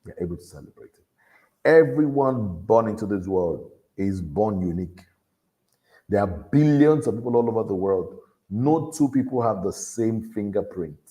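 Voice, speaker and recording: Deep-voiced man exhorting. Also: expressive, normal speed, dark tone. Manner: earnest, exhorting, repeats key lines. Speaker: motivational speaker at a youth event. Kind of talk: motivational talk.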